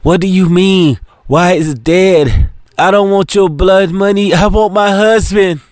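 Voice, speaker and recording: Brief: man putting on a heartbroken young wife, shrill and tearful, voice pushed very high. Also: measured pace, darkish tone, no room sound, clean studio sound. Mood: distraught. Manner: shrill, sobbing and screaming.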